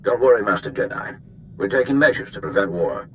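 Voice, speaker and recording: A man's voice: fairly low pitch, big pitch swings, fairly quick, fairly bright tone. A man playing an earnest navy flight commander in a video game, giving a formal military briefing. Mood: earnest, official. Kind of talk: video game character acting. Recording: faint noise behind the voice.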